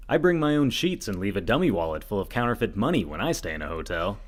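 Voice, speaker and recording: An earnest, self-assured reporter sleuth played by a man, with a lowish voice that swings widely in pitch. He is dramatic and a little dim, straight out of a spoof radio whodunit. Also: sing-song, fast talker. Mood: earnest, deadpan. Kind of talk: comedic old-time radio mystery acting.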